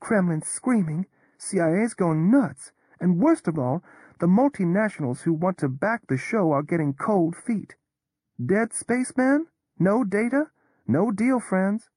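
A woman's voice: medium pitch, unhurried, slightly rough, dry recording. A woman brings to life a cynical, world-weary Texan whose drawl stretches every word. Her tone stays level and sardonic, never rising to alarm. The sound is close and dry, with no room around it. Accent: Texas. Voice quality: drawling, flat neutral tone. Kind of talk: audio drama performance.

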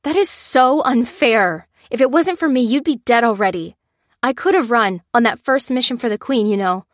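A woman's voice: on the high side, normal speed, no room sound, studio quality, pitched above the performer's own voice, close to an everyday voice. A woman voicing a defiant young woman survivor who snaps back defensively. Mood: indignant. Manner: snapping, fuming, defensive. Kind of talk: audiobook character reading.

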